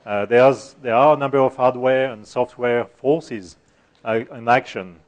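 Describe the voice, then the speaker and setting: A middle-aged man with a French accent and a soft voice, giving a technical conference talk with many fillers and restarts. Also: medium pitch, steady tone, measured pace. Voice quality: soft-spoken. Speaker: conference speaker. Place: conference room.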